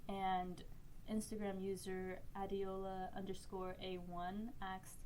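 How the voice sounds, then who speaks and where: A woman of middle pitch co-hosts a casual podcast round-table in an easygoing, playful way. A faint background noise sits under her voice. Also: level tone, takes it slowly, a little mellow, low-quality recording. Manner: easygoing, playful, reads questions out. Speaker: podcast co-host.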